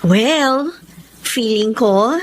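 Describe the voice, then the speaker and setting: A gossipy young Filipino office woman with a very high, swooping voice. She chatters in radio drama style, with some noise behind her. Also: expressive, extremely slow, poor audio. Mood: amazed, warming.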